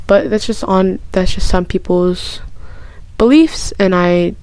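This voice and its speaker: A woman chatting casually as a vlogger, gushing and excitable, rambling with frequent restarts and lots of "like". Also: medium pitch, takes it slowly, clean tone, no room sound, low-quality recording. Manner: chatty, heavy use of "like". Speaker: vlogger answering personal questions. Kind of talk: casual chat.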